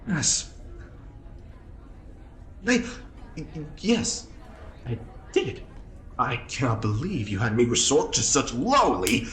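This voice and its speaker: An actor playing a refined, squeamish prison schemer in an audio drama, prim, wry and theatrically indignant. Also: male voice, mid-range voice, very animated, takes it slowly, pauses often, a bit bright, reverberant. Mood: affronted. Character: refined, fussy, squeamish male convict schemer in space prison.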